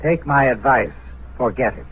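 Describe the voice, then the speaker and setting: A man acting a stern, officious health commissioner in a mid-century radio drama, formal and deliberate in his speech. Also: mid-range voice, animated, loud music behind the voice, natural voice. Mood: stern, grave. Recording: tinny, noisy vintage radio recording.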